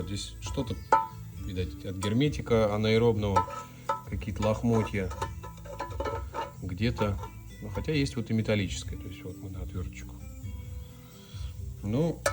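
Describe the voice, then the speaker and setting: Hoarse guy mechanic, low voice, chatty repair walkthrough, music going in the back. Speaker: auto mechanic, channel host. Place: car repair garage.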